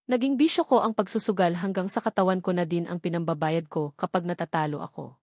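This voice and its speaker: Filipina lady narrating an audio novel, mid pitch, really dry close sound, scripted storytelling. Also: darkish tone, no echo.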